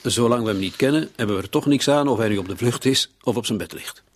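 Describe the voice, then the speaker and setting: An actor playing a shrewd, authoritative police commissioner in a radio drama, deliberate and commanding. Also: male voice, medium pitch, natural voice. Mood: measured, probing. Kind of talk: radio drama acting in dialogue.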